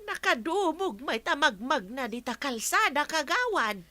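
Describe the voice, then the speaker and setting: A woman's voice: high voice, big pitch swings, measured pace, pushed and bright, no echo, full character voice. An actress with an Ilocano accent playing a teasing, gossipy village woman in a radio comedy-drama.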